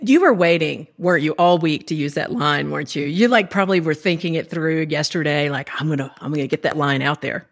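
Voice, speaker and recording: A woman's voice: low-pitched, brisk, few pauses. Easygoing woman co-hosting a podcast. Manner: friendly, teasing, easygoing. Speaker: podcast co-host. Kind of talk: casual podcast chat.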